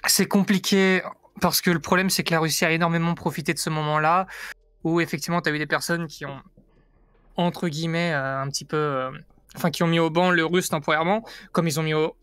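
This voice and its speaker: Higher-pitched man from France, insistent. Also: fairly even intonation, a little fast, a bit bright, smooth, no room sound. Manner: insistent, emphatic, repeats himself. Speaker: guest giving an opinion. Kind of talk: casual debate, explaining.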